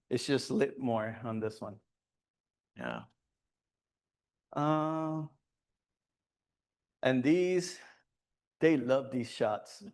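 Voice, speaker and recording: Smooth-voiced man giving a casual talk. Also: medium pitch, darkish tone. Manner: loose, conversational, many fillers and restarts. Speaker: guest speaker at a photography club. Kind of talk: presentation with audience questions. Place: meeting room.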